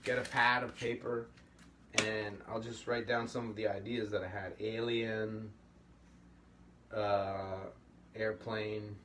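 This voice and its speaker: A man's voice: on the low side, flat delivery, slow, long pauses, a bit bright. Easygoing middle-aged man teaching puppetry. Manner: easygoing, rambling, encouraging. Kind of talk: casual workshop lesson. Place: indoor studio room at a table. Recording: slightly distant mic, faint room hum.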